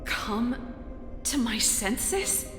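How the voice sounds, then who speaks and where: High-voiced, impassioned young woman researcher. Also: big pitch swings, extremely slow, exaggerated voice. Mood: hurt, disbelieving. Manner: impassioned, dramatic, pleading. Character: passionate, idealistic young woman researcher pleading with a ruler. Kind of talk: video game story voice acting. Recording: echoey reverb with music behind.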